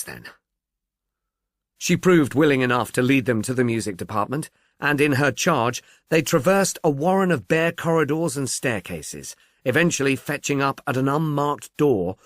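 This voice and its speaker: A man narrates literary fiction in a scripted audiobook style. His pitch is animated and mid-range, and the recording is dry with little room sound. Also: moderate pace, few pauses, no room sound.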